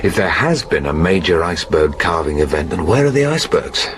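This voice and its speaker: A man's voice: low-pitched, big pitch swings, fairly quick, background noise. A man acting in a radio drama as an earnest, protective polar ice scientist who explains things methodically. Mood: puzzled, earnest.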